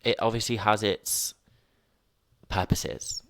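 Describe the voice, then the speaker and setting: A young man in his twenties hosts a solo podcast from home in a rambling, self-mocking way. His voice sits very low, and the sound is close and dry with no room around it. A sniffly nose runs through his talk. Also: fairly even intonation, slow pace, vocal fry, a bit bright, dry recording. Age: 20s. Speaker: podcast host. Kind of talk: casual solo podcast chat. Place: at home, indoors.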